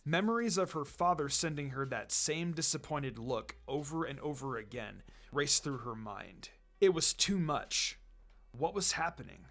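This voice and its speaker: A man's voice: on the high side, animated, a little slow, a bit bright. A man reading a story aloud as a dramatic narrator, with music behind him. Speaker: fan fiction reader. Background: background music.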